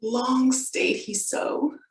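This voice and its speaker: Frightened young noblewoman played by a woman, lightly high voice, formal stage verse, measured and dramatic, echoey room. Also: steady tone, a little hiss or hum. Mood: troubled, sorrowful. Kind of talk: Shakespearean stage monologue. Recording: roomy, distant microphone.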